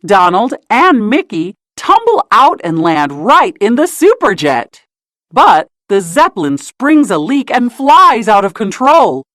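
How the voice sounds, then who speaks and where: Woman, children's storybook narrator, expressive, very varied pitch, slow deliberate pace, dry sound. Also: dry recording. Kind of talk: scripted children's read-aloud narration.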